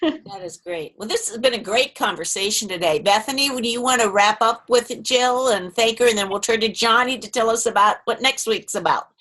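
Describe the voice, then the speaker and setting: A woman's voice: on the high side, fairly quick, fairly bright tone. Woman host wrapping up a call. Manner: friendly, organizing, thanking. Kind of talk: wrapping up a group video call.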